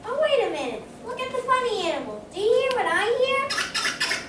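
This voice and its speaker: A woman's voice: very high voice, big pitch swings, moderate pace. A woman playing a sweet, curious, eager little kid in an old radio comedy. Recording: echoey stage, far mic, clear noise.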